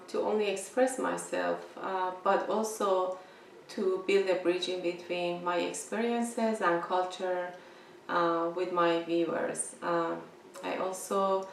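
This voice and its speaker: A woman artist whose first language is Farsi, talking about her drawings with many pauses and fillers. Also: on the low side, vocal fry, echoey room, distant mic, slight background noise, low-quality recording.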